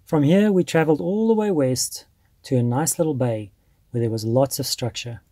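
A South African man narrating his fishing vlog in a calm, scripted voice-over. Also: mid-range voice, normal speed. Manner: calm storytelling.

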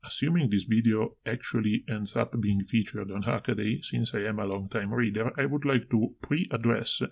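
A man with a husky, slightly gravelly voice presenting an informal hands-on electronics explanation. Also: lowish voice, normal speed, noisy background. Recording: dull, lo-fi home video audio.

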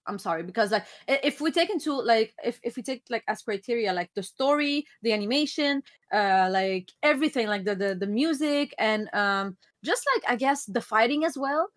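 A young woman from Lebanon speaks English with a Lebanese French accent, her voice sitting a little high. She talks in an excitable casual way, tripping over restarts and repeated words as she chats from a home streaming setup. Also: brisk. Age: young adult. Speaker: co-host reacting to an anime episode. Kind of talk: casual chat. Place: small bedroom streaming setup, indoors.

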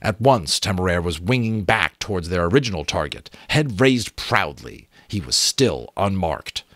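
A man in his thirties with a low voice reads an adventure novel aloud. His delivery is expressive, with the odd small stumble. The sound is close and dry. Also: normal speed, no echo. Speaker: audiobook reader. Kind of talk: dramatic reading of an adventure novel. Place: small home room with bookshelves. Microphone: close desk microphone.

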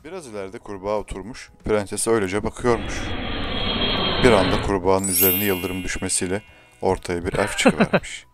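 Deep-voiced man telling a children's fairy tale. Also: fairly even intonation, brisk, soft background music. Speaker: storyteller.

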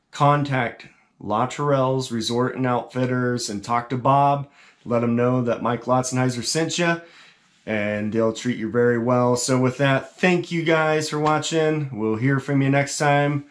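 A middle-aged man hosting a fishing vlog, talking steadily and friendly from a small room at home. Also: medium pitch. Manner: steady, friendly presenter talk. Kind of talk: casual vlog narration. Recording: music playing underneath.

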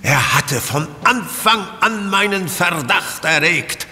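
Pompöser Bühnendetektiv, gedehnt, hallender Saal. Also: male voice, sits high, expressive, slow, pushed and bright, slight background noise, heavily acted. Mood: triumphant. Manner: declamatory, pompous. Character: pompous, theatrical aristocratic stage detective. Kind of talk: stage melodrama within an audio drama. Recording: echoing theatre hall, faint music.

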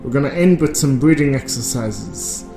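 A man playing a smug male yoga instructor in a radio drama. He guides the class in a measured, serene way that is thinly passive-aggressive and judgmental. His voice rings in a roomy space with music underneath. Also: medium pitch, creaky, lightly acted. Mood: instructing. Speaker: yoga teacher. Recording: roomy echo, music behind.

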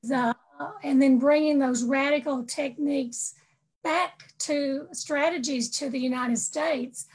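A woman on an online panel, adding a point in a measured, explanatory way. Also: high voice, fairly even intonation, a little mellow, rough recording. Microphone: video call.

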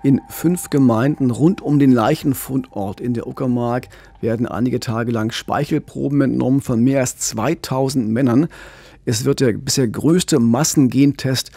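A middle-aged man talks through a true-crime case at a studio microphone, calm and conversational, pausing to rephrase himself. His voice is husky and gravelly, and the sound is close and dry. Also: medium pitch, dry recording. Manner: explains calmly, with restarts. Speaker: podcast co-host and crime reporter. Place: radio studio. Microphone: studio microphone with pop filter, headphones.

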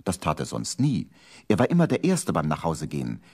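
A man with a husky voice narrating a scripted children's story for a radio play. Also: sits low, wildly swooping pitch, fairly quick, a little mellow.

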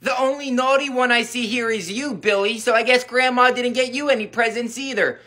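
A man's voice: very high-pitched, steady tone, brisk, sharp tone. A man voicing a bossy grandmother in a family comedy skit, blunt and commanding yet doting on her grandbabies. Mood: scolding.

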